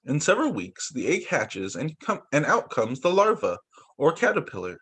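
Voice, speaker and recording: A young male teacher reads picture books aloud over a video call, his slightly high voice rising and falling in lively swoops. There is a faint husky edge to his voice, and he keeps stopping to add small surprised comments. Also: normal speed. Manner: reads aloud with asides and exclamations. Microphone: computer mic on a video call.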